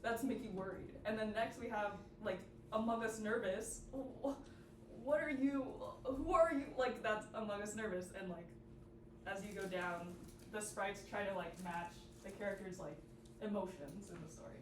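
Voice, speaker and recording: Brief: young female student presenter, informal and chatty, distant mic with clear room noise. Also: medium pitch, moderate pace, roomy sound. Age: young adult.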